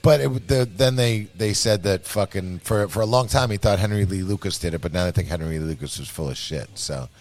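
Low-voiced man telling stories, swearing. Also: a little fast, hardly any pauses. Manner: animated, profane storytelling. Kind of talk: casual chat.

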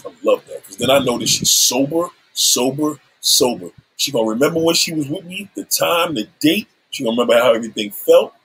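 Husky raspy old dude in his 70s going off on his livestream, cussing and threatening people. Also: mid-range voice, expressive, fairly quick, few pauses, a bit bright, poor audio. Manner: combative, profane, threatening. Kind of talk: profane livestream rant.

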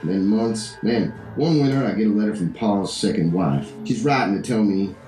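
A low, dark-voiced drug dealer played by a man broods through his story in deliberate, bitter monologue. It is a radio drama in an old-time style, with crackling fuzz and a roomy echo around the words. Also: animated, natural voice. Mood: bleak, reflective. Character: bitter male drug dealer turned prisoner, brooding and nihilistic.

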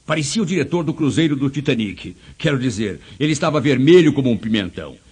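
A man's voice: medium pitch, lively intonation, fast, darkish tone, breathy edge. A man with a Brazilian accent giving an emphatic motivational speech, telling anecdotes and posing rhetorical questions. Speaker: motivational speaker.

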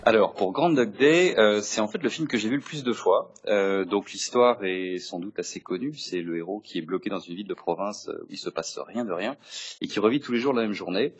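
A man describing his favourite film in a measured way with many hesitations. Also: lowish voice, animated, slightly rough, lo-fi sound. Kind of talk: recorded testimony about a film.

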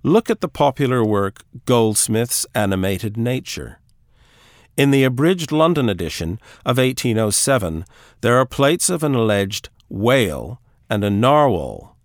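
Male audiobook narrator for classic literature: animated intonation, mid-pitched voice, close dry recording. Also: unhurried, no room sound.

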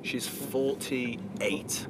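A man's voice: mid-range voice, fairly even intonation. Rambling young man in a car. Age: 20s. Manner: rambling, halting anecdotes with fillers. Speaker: podcast co-host, teacher. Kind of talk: casual chat. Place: inside a car. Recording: noisy car interior.

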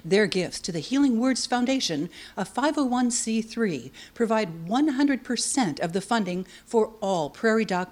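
A woman reading a scripted sponsor announcement for a TV program in a measured, clear way. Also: medium pitch, darkish tone.

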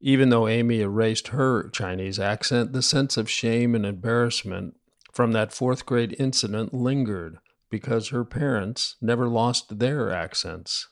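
A man hosting a podcast, narrating between interview clips in a measured, even way. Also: medium pitch.

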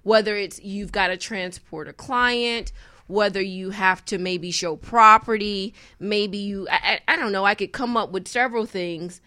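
A woman's voice: mid-range voice, unhurried, sharp tone, no echo, studio quality. An American woman speaking as a panelist in a casual discussion, explaining her points and repeating key phrases for emphasis.

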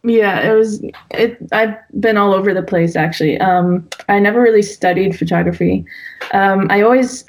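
A young woman speaks as a guest in a relaxed interview and rambles with fillers and restarts. Her voice sits at a middle pitch. She talks informally and thinks aloud. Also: moderate pace, rough recording. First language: Dutch. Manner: talkative, full of fillers and restarts. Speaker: guest, wedding and family photographer. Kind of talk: casual interview conversation.

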